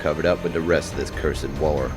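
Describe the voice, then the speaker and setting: A man performs a gruff, war-weary old soldier narrating a war film. The voice sits extremely low, with plain, folksy phrasing and rough grammar. Music plays clearly behind the grim storytelling. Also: steady tone, fairly quick. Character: gruff, war-weary old male soldier recounting eerie wartime encounters. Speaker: voice actor. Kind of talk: scripted narration, film monologue voice reel.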